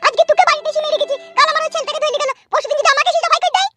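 A voice performer playing a shrill, nagging mother in a cartoon, who scolds her husband without pause. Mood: scolding. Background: background music.

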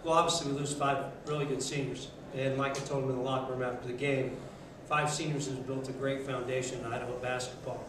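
A middle-aged man, a basketball coach, answering reporters after a game at a press table, steady and measured, often repeating words as he thinks. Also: medium pitch. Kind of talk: postgame press conference. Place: press conference room, seated at table. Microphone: table mic. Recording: echoey room, mic from a distance, noisy.

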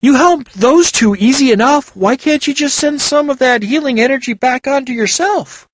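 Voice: high voice, moderate pace, no echo, pitched above the performer's own voice. A narrator voicing an eager, earnest young man in a fantasy story who apologizes readily.

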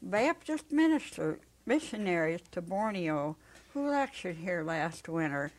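A woman in her seventies giving a dramatic reading of a story, measured and careful. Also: lowish voice, very animated, poor audio. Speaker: story reader. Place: indoor set with holiday decor.

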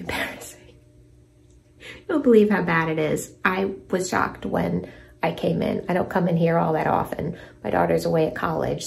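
A woman in her forties chatting casually in an expressive, emphatic way, as a home cleaning vlogger. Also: fairly low pitch, creaky. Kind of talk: casual vlog chat and narration while cleaning. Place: small tiled bathroom, indoors. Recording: roomy bathroom echo, distant mic.

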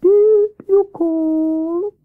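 A woman voicing a cool, bossy male owl in a children's story, with a drawn-out, commanding delivery. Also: very high-pitched, steady tone, narrow, tinny audio, voice pushed up, exaggerated voice. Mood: cool, haughty. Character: imperious, cool old-fashioned male owl, drawling and bossy.

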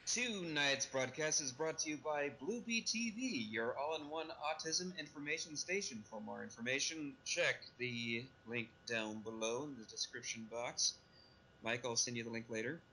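A man's voice: mid-range voice, normal speed, pushed and bright, rough recording. A man speaking as a panelist in casual group podcast banter. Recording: faint background noise.

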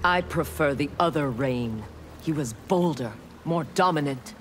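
A cold, ruthless young ninja warrior in a fighting game. Her delivery is slow, clipped and haughty, with a faint music bed underneath. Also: sits low. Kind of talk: video game dialogue.

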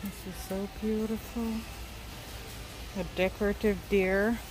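Slow-paced woman vlogging in short phrases. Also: mid-range voice, little pitch movement, low-quality recording. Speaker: shopping vlogger. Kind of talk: casual shop-with-me narration, naming items and prices. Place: large indoor warehouse store. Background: music.

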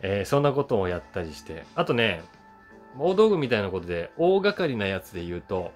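A man in his forties talks casually to camera as if sharing old memories. His voice is on the low side and swings widely in pitch, with an animated, conversational delivery over faint guitar music. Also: fairly quick. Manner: animated, conversational, acts out sounds with onomatopoeia. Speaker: voice actor and YouTuber telling memories. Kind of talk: casual monologue to camera, reminiscing. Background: soft guitar music.

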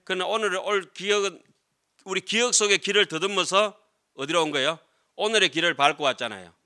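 A middle-aged man lectures as a Buddhist monk. His voice is fairly high and strikingly bright and forceful. He explains conversationally, often putting short tag questions to his listeners. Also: a little fast. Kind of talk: classroom lecture on Buddhist scripture. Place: lecture room with seated audience, indoors. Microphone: desk gooseneck microphones.

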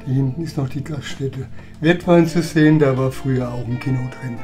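A man's voice: mid-range voice, fairly quick, a little mellow. A man narrating old home movies in a chatty, reminiscing voice-over. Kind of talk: casual voice-over commentary. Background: background music.

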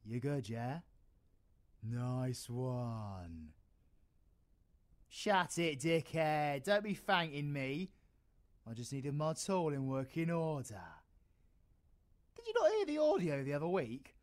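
Man acting slow-talking British supervillain rogue. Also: a little high, big pitch swings, no room sound. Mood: cocky, playful. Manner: slangy, swearing, swinging from murmurs to outbursts. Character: cocky male supervillain, foul-mouthed, protective, cheeky rogue. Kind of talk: audio roleplay, intimate ASMR acting.

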